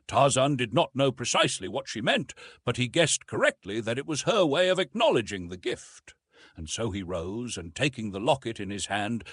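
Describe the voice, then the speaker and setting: A man narrates a classic adventure story in a measured, literary way. His pitch moves widely and expressively through each sentence, and his voice has a husky edge. The sound is dry and close. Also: a bit bright, no room sound. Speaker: audiobook narrator.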